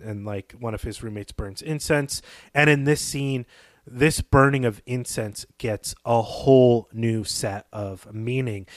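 A man's voice: mid-range voice, no echo, studio quality. A man co-hosting a podcast, analysing fiction in a thoughtful, emphatic explaining way. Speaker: podcast co-host. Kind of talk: analytical literary discussion.

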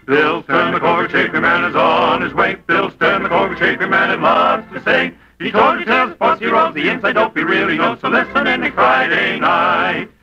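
American male jingle singer: high, vintage radio style, muffled recording with music. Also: normal speed, pauses often. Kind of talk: sung 1940s radio sign-off jingle.

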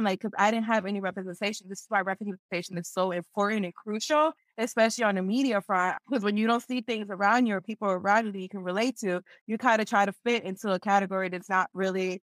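A young woman tells personal stories in a casual interview, candid and animated, with slang and emphatic repetition. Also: mid-range voice, no room sound. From Philadelphia, United States. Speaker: interview guest, entrepreneur.

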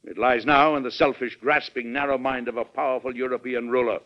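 An actor playing a resolute middle-aged American president with an emphatic, authoritative delivery in an old-time radio drama. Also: male voice, mid-range voice, flat delivery, fairly quick, natural voice. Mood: firm, impassioned. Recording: dull, lo-fi vintage radio recording.

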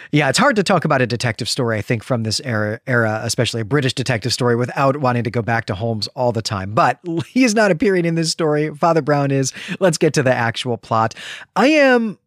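A man's voice: mid-range voice, fairly bright tone, no echo, studio quality. A man hosting a literary podcast, talking in a chatty, discursive way with wry asides. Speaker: podcast host.

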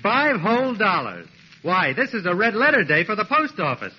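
A man's voice: sits high, sing-song, normal speed, slightly rough, heavily acted. An actor playing a fussy, sarcastic American mailman in a 1940s radio comedy, precise and mock-polite. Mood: fussily sarcastic. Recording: dull vintage radio recording.

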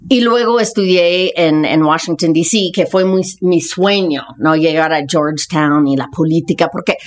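A lower-pitched woman in her fifties with an American accent tells her life story as a podcast guest. Her tone is casual and frank, full of slang. English phrases slip in because it is her first language. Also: normal speed. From United States.

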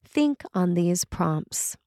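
A woman priest reading a scripted sermon in a measured, deliberate and solemn manner. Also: on the low side, sing-song, dark tone, no room sound, clean studio sound. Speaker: Episcopal priest reading a sermon written by a colleague. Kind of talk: read sermon, scripted narration.

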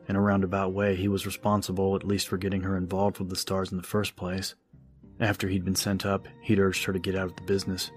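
A man narrating a scripted horror story as an audiobook narrator. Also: low-pitched. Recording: music under the voice. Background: music.

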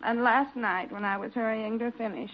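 Self-pitying, theatrical nurse for vintage radio drama, female actor: highish, earnest, plaintive, on a muffled recording. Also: fairly even intonation, normal speed, natural voice. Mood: flustered. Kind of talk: 1940s radio acting.

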